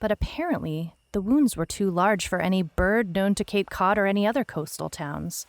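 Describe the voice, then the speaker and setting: A woman playing a wry, world-weary private detective, narrating her case log in a dry, deadpan noir style. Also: mid-range voice, normal speed, dry recording, natural voice. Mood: dry, matter-of-fact. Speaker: narrator, lead actor.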